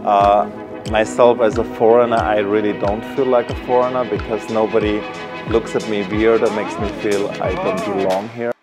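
A man's voice: fairly low pitch, flat delivery, moderate pace. A man in his thirties from Austria, talking casually in an interview as a hotel manager. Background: music.

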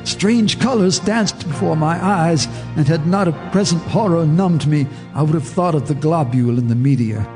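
A man's voice: a little high, music in the background, close to an everyday voice. A man playing a grave horror narrator. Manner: grave, measured storytelling. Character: haunted male neighbor telling a horror tale. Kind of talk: radio drama narration.

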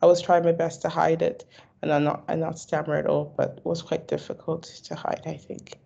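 A woman's voice: deep voice, flat delivery, moderate pace, creaky, mellow, breathy edge. A young woman who stammers, repeating words and restarting, answering questions in a video call interview. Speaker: stammering awareness advocate. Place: indoor room. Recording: dull lo-fi video call audio.

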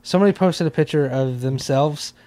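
Guy in his 30s riffing on a comedy podcast, kinda high voice, super dry close mic. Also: a little fast, mellow, no echo. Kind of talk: casual comic chat. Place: small indoor podcast room, on a couch. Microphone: handheld microphone held close.

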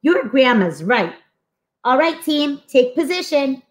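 Storybook schoolkids, voiced by a woman: eager, friendly, earnest, higher pitched, swinging intonation. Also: takes it slowly, exaggerated voice. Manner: expressive, earnest. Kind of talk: animated storybook read-aloud.

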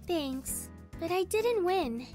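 Very high-pitched, girlish young tennis player. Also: animated, slow pace, mellow, heavily acted. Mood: sulky, frustrated. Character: competitive, sulky young female college tennis player. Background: game music.

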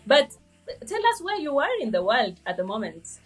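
A woman's voice: on the high side, big pitch swings, normal speed, slightly rough, soft background music. A woman hosting a podcast, asking questions in an enthusiastic, encouraging way. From Kenya. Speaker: podcast host. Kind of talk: podcast interviewing.

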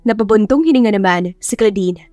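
A woman's voice: medium pitch, fairly quick, mellow, no echo. A woman reading scripted story narration as an audiobook narrator. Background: music.

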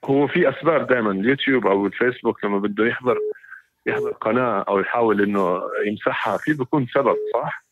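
A male caller rattles off his points at a rapid clip, politely checking again and again that he is being followed. His voice reaches the listener through a thin, tinny phone line and sounds dry and close. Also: mid-range voice, no echo. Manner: polite, rushed, keeps checking he is understood. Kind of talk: call-in conversation.

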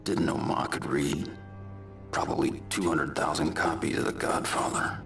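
A grim video game vigilante with an extremely low, gravel-filled growl. He is terse and deadpan, delivering dark humour with dry menace. Faint music plays underneath. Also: normal speed, exaggerated voice. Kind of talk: video game cutscene acting.

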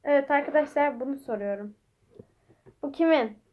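A woman's voice: high-pitched, normal speed, lo-fi sound, slight background noise. A woman chatting casually as she hosts a homemade quiz game and speaks to viewers. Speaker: vlog host quizzing a child.

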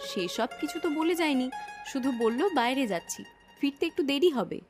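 A woman's voice: high-pitched, big pitch swings, fairly quick, music in the background. A woman voicing a kind, dutiful sister-in-law in a cartoon moral story, speaking in a polite, attentive way. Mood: polite, hospitable.

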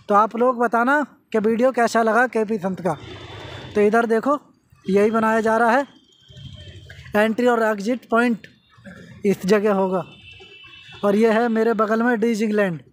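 A man's voice: high voice, steady tone, moderate pace, long pauses. A man vlogging from a construction site, chatting casually to his viewers as friends. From India. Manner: conversational, addressing viewers as friends. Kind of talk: casual walkthrough narration.

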